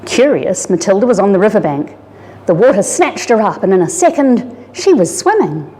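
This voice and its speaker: A woman reads a children's picture book aloud in a dramatic, animated storytelling manner. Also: mid-range voice, moderate pace. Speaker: story reader, disaster resilience officer. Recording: reverberant hall-like room.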